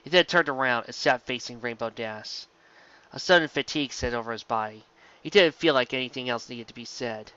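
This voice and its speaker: A man's voice: medium pitch, a little fast, bright, forceful tone, smooth, dull audio. A man narrating a scripted piece of fan fiction as an amateur reader, now and then stumbling over words and rereading them.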